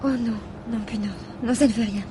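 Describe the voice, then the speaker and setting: A woman's voice: fairly high pitch, steady tone, a little fast, background noise, natural voice. A woman playing an anxious, hesitant wife confiding in her driver in a French radio mystery drama, breaking off and restarting her sentences. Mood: hesitant pleading.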